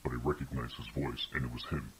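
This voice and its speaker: A man playing a distraught, haunted man in analog horror, halting and pleading, with his voice pitched very low. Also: level tone, a bit bright. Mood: desperate, pleading. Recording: noisy, hissy horror-tape phone call.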